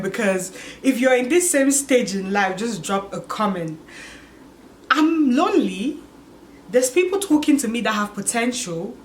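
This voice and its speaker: A woman in her twenties talking candidly to her channel, musing aloud and filling pauses with "like" and "you get it?". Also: fairly high pitch, slight background noise. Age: 20s. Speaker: vlogger. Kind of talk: casual confessional vlog.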